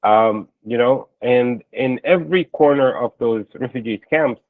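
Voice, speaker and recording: Halting, explanatory man on band-limited audio. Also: mid-range voice, no echo, narrow, tinny audio. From Palestine or its diaspora. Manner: earnest and explanatory, hesitant with frequent filler pauses. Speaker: interview guest. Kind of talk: conversational live panel interview.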